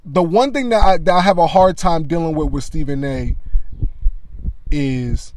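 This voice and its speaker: A man with a loud voice giving casual, conversational commentary on sports media, often adding "you know what I mean.". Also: fairly high pitch, measured pace, a little hiss or hum, low-quality recording.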